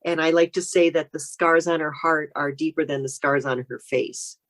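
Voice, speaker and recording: A woman's voice: low voice, steady tone, moderate pace. An American woman speaking as a guest author, chatting casually and telling anecdotes in an interview.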